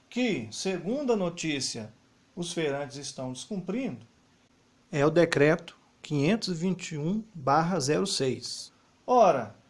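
A man with a fairly high voice talks through a news story for viewers. He walks through the material point by point, with faint music playing under his voice. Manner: explains while pointing at web pages on screen. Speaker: news commentator. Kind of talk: screen-recorded news commentary. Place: voice-over over screen recording.

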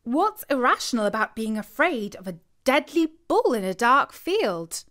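A woman's voice: on the high side, big pitch swings, measured pace, fairly bright tone, pitched above the performer's own voice. Reader as a sceptical, chiding British woman. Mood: indignant. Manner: questioning, reproachful.

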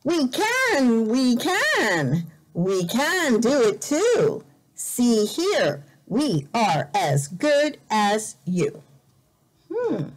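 Casting: woman teacher for a children's read-aloud lesson, slightly high voice, very unhurried delivery, careful and expressive with repeated words. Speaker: volunteer English teacher reading a picture book. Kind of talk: slow read-aloud lesson for young learners. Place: indoor room, at a desk.

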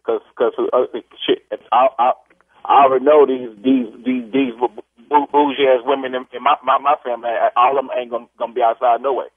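Husky stuttering man on the phone. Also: medium pitch, little pitch movement, no room sound. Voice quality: heavy stutter. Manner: blunt, repetitive, halting. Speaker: prank call target, customer. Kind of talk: casual profane phone conversation. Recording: tinny telephone line.

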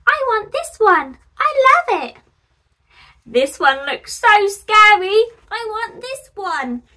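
A woman voicing an eager, excited young child in a picture-book story. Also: very high-pitched, slow, voice pushed up, heavily acted. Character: eager young children picking their favourite pumpkin.

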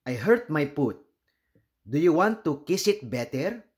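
A man's voice: a little high, sing-song, unhurried. A man voicing an eager, chatty young girl monkey in a storybook, asking things in an earnest, childlike way. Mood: plaintive. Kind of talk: storybook character voice.

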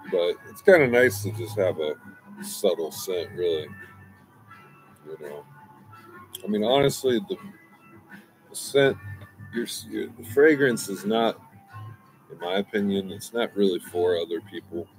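Guy in his 40s chatting outside on a webcam, low voice, drifts around and restarts a lot. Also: measured pace, long pauses, faint music behind, poor audio. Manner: relaxed, meandering, many restarts and asides. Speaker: fragrance reviewer. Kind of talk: casual rambling review. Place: outdoors by a brick wall, smoking.

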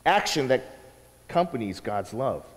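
A man in his thirties preaching a sermon from the stage of a church sanctuary, teaching with lively asides. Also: mid-range voice, normal speed. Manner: animated teaching with acted quotes and asides. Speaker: pastor. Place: church sanctuary, large indoor room. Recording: long reverb of a church hall.

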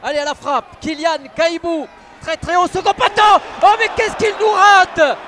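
Male football radio commentator, very high voice, exuberant, over loud stadium crowd. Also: normal speed. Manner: exuberant, passionate, often shouting. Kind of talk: excited live match commentary. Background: noisy football stadium crowd.

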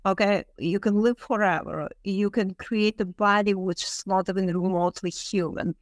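A woman's voice: mid-range voice, steady tone, moderate pace, dry recording. Thoughtful woman explaining, emphatic and dry-sounding. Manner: thoughtful, emphatic, repeats key phrases. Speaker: interviewed literature professor. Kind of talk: unscripted interview explanation.

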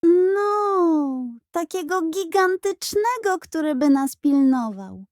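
A woman's voice: very high-pitched, animated, slow, a little mellow, dry recording, clean studio sound, voice pushed up, full character voice. A woman voicing a competitive, teasing young boy in a children's audio story. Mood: dreamy.

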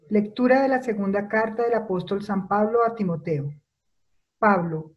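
A woman's voice: mid-range voice, lo-fi sound. Woman reading scripture at home. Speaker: lector. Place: home room, indoors.

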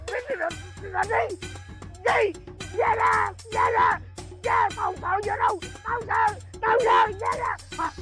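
A man's voice: extremely high pitch, fairly bright tone. A man playing a boastful, hot-tempered bandit boss who turns into a stammering coward. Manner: blustering, then panicky stammering shrieks. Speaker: comedy sketch actor. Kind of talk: comic sketch acting.

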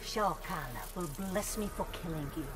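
A woman acts out a devoted, deadly sorceress assassin in a fighting game. She speaks rather low, with menace and intensity. Loud music runs behind her. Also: normal speed, lightly acted. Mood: menacing. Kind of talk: dramatic fighting game dialogue.

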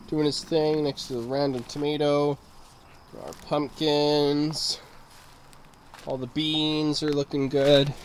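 A man's voice: a little high, a little hiss or hum. Stuffed-up man touring his garden. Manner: relaxed, rambling, easily amazed. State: stuffed up from a cold. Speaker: gardening channel host. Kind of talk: casual garden tour vlog. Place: backyard garden outdoors at dusk. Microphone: handheld camera mic, filming himself walking.

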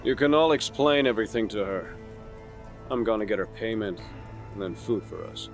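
An actor playing a weary, grim assassin in an audio drama, speaking in a serious, measured, admonishing way. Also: male voice, lowish voice, animated, faint music behind. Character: weary, grim male assassin, bluntly cautionary.

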